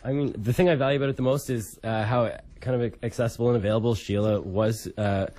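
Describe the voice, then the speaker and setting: A man's voice: mid-range voice, fairly even intonation, quick pace, warm tone. A young adult man from Canada speaking as a radio show host in a studio. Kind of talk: casual radio talk and dramatic reading.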